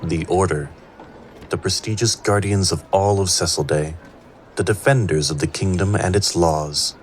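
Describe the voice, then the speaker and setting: A man's voice: low-pitched, moderate pace, a little hiss or hum, close to an everyday voice. A man performing scripted narration of a fantasy tale as an all-knowing storyteller. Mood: suspenseful. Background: prominent music.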